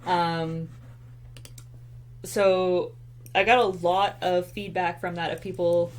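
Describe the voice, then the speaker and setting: A woman's voice: fairly low pitch, steady tone, slow. A young woman hosting an art stream, chatting casually with lots of fillers as she draws. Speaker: artist hosting a drawing stream. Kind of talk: casual chat while drawing.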